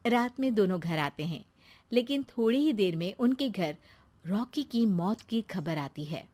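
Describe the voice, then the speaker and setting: A woman's voice: medium pitch, animated, unhurried, darkish tone. A woman reading a scripted moral story as its narrator.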